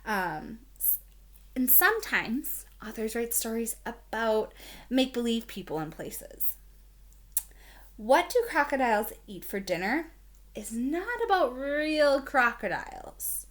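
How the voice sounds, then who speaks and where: Female primary teacher for a children's read-aloud: higher-pitched, lively intonation, explains simply and puts questions to the listener. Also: slow. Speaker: elementary school teacher.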